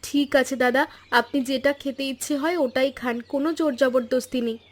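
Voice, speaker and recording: A woman voicing a caring, sisterly wife in an animated story, earnest, coaxing and insistent. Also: high-pitched, a little fast. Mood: sympathetic. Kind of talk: Bengali animated story dialogue.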